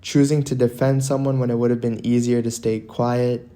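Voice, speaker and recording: A man narrating a scripted motivational monologue in an earnest, deliberate way, leaving dramatic pauses between phrases. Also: medium pitch, level tone, mellow.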